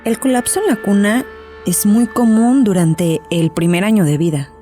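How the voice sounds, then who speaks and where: A woman's voice: mid-range voice, moderate pace, mellow, soft background music, close to an everyday voice. A woman playing a composed, probing and punctilious psychotherapist in a horror audio drama. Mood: probing, precise.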